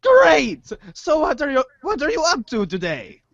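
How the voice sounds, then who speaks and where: A woman puts on a goofy cartoon mad scientist man, theatrical and full of exclamations. The pitch leaps all over and the delivery is drawn out, on a muffled, dry recording. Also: wildly swooping pitch, measured pace, no room sound, exaggerated voice. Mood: excitable, dramatic. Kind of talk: comic cartoon impression. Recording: muffled, dull lo-fi audio.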